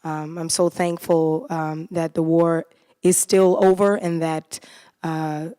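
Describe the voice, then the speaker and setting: A woman's voice: low voice, flat delivery, slow pace, mellow, clear, resonant tone, no room sound, studio quality. A woman from the United States, a cast member speaking earnestly and from the heart at a post-show talkback on a theater stage. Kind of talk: post-show panel talk.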